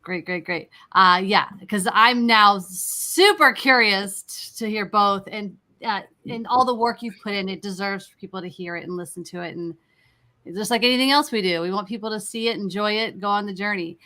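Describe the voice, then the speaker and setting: A woman with a bright, clear-edged voice acts as interview host. Her pitch is middling, and she talks in a casual, conversational way. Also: normal speed.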